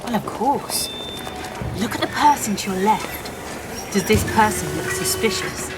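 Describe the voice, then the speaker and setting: A woman's voice: mid-range voice, lively intonation, measured pace, loud music behind the voice, close to an everyday voice. A woman playing an eager, bossy helper in a children's audio play, giving listeners chirpy step-by-step instructions. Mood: conspiratorial. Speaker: co-presenter of a participatory audio play.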